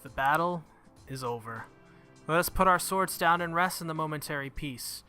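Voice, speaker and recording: A man's voice: on the high side, moderate pace, sharp tone. A man who makes gaming videos, reading visual novel text aloud and commenting casually on the game. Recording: music behind the voice. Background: game music.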